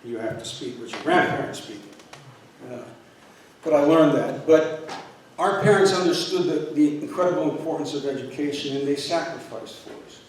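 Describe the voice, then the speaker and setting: An older man from northeastern Pennsylvania whose first language is Polish, giving an earnest, halting public talk with many fillers and restarts. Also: on the high side, slight background noise. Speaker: university president candidate. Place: university hall. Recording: echoey room, from far off.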